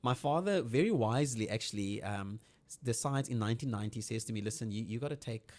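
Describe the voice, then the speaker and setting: South African man, 40s, quick chatty guest, average pitch. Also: animated, darkish tone. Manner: fast, storytelling, frequent restarts. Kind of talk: casual interview chat.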